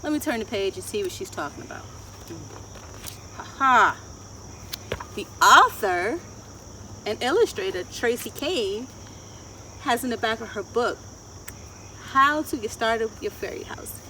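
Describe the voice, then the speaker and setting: A woman in her thirties presenting to young children outdoors, asking them questions in an expressive sing-song way. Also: a little high, unhurried, long pauses. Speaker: children's story time host and garden educator. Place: outdoors in a wooded garden. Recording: clear outdoor background noise, insects chirring. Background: insects and outdoor ambience.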